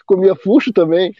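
A man from Brazil, a teacher hosting a podcast in casual chat, talkative and quick to laugh. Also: high voice, no echo, muffled recording. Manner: chatty, laughing often, drawn-out asides.

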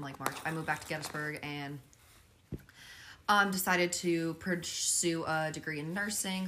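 A woman's voice: fairly low pitch, bright, forceful tone. A young adult woman, a podcast guest, telling her story in casual chat with lots of fillers. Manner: earnest, filler-heavy storytelling.

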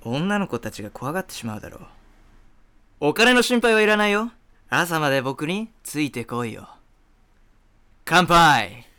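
A man's voice: on the high side, big pitch swings, normal speed, pauses often, bright, forceful tone. A man playing an arrogant, flashy rich playboy in a comedy radio drama, with a pompous, showy drawl. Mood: cocky.

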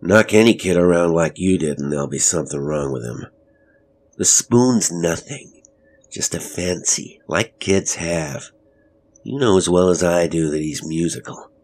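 A man's voice: sits low, lively intonation, measured pace, a little mellow, exaggerated voice. A man voicing an angry, dismissive husband in an audiobook story, blunt and scolding as he defends his son.

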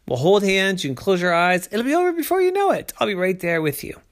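A parent voicing an upbeat older sister who coaxes and pushes her little brother, in a lifted, bright storybook voice. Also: mid-range voice, very animated, quick pace, voice pushed up, natural voice. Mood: coaxing. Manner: coaxing, upbeat, insistent. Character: bossy, cheerful big sister.

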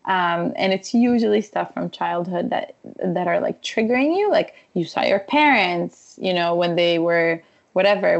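Woman from israel on a podcast, gravelly voice, kinda muffled lo-fi audio, chatty and animated. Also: fairly low pitch. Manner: animated storytelling, frequent laughs. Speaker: podcast guest, wellness coach. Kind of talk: conversational interview.

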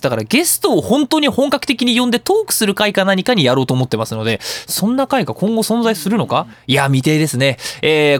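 A man hosting a radio talk show, explaining things casually and politely with self-deprecating jokes, recorded in a studio. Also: on the high side. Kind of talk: casual solo talk show on audio production.

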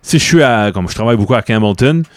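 Podcast co-host, man, relaxed low voice, dry close sound. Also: quick pace, no echo. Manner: relaxed, plain-spoken, short replies. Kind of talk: casual chat.

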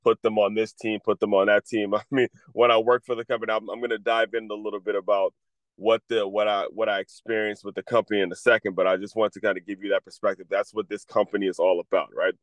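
Congested man, low dry voice. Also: little pitch movement, fast, no room sound. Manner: rambling with frequent restarts. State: congested, under the weather. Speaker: podcast host. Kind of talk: casual solo talk, advice to athletes.

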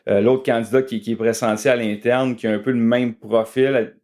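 Quebec French male sports analyst, medium pitch. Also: steady tone, moderate pace, a little mellow. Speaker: guest sports journalist.

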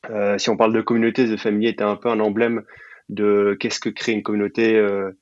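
Low-voiced young man, casual podcast guest. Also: little pitch movement, fairly quick, no echo, muffled recording. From Belgium and Sicily. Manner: informal, peppered with English jargon. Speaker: podcast guest, startup programme manager. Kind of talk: casual conversational interview.

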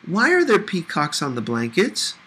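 Male narrator as a playful little boy: eager, curious, raised pitch, bright storybook character. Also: wildly swooping pitch, normal speed, slightly rough, full character voice. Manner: eager, questioning.

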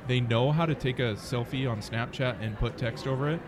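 A man's voice: mid-range voice, a little fast, noisy background. An American man speaking conversationally as a startup founder being interviewed. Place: busy conference venue near an expo hall. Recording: busy crowd chatter behind the voice.

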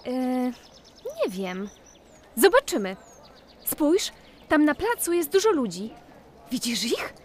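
A woman's voice: high-pitched, huge pitch swings, slow pace, long pauses, heavily acted. A woman playing an eager, curious little girl full of wonder in a children's audio play. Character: curious, enthusiastic little girl exploring with brother.

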